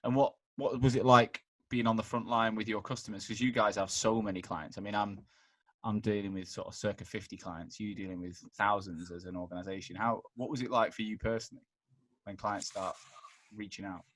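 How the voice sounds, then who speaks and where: A man's voice: lowish voice, steady tone, a little fast, a bit bright, dry recording. A man hosting a podcast in a casual, chatty way, informal and restarting his questions mid-sentence. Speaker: podcast host. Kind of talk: casual chat interview.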